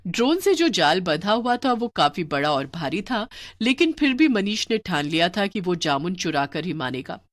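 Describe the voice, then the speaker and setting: A woman's voice: fairly low pitch, very animated, moderate pace. A woman reading a scripted story as its narrator.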